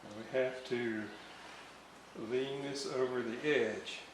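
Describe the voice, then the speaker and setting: Slow-talking man in a noisy, roomy space. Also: medium pitch, steady tone. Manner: halting, muttering to himself while working. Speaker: radio restorer. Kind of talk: unscripted hands-on workbench demonstration. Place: indoor room, at a workbench. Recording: roomy, noisy home recording.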